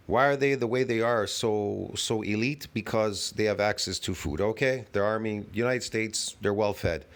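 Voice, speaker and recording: A man with a fairly low voice talks loosely and brashly, scoffing at what he watches. His commentary rambles and wanders off track. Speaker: channel host reacting to videos. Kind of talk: casual reaction commentary. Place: at home.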